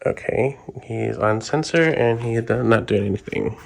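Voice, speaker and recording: A man hosting a Halloween channel, casually explaining a product setup step by step in a relaxed voice-over. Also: mid-range voice, normal speed, vocal fry, slight background noise. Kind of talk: casual product review and demo. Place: indoors, voice-over recorded apart from the footage.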